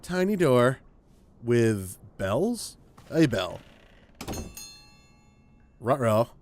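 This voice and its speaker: A man's voice: mid-range voice, expressive, normal speed, a little mellow, a little hiss or hum. A man hosting a gaming video with casual, rambling commentary, thinking aloud as he plays. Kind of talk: casual let's play commentary.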